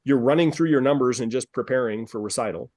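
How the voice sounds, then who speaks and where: A man's voice: medium pitch, fast, a little mellow, no echo. A man hosting a live group coaching call, upbeat and persuasive, who repeats himself and calls on callers by name. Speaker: dance studio business coach, call host. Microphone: video call.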